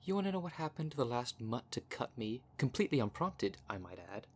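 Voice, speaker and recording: A man's voice: mid-range voice, sing-song, brisk, slight background noise, close to an everyday voice. A man playing a cocky, cunning werecat with a smug, taunting, theatrical manner in an audio roleplay. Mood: taunting, menacing. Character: cocky, cunning male werecat shapeshifter, boastful and menacing. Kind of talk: ASMR roleplay monologue.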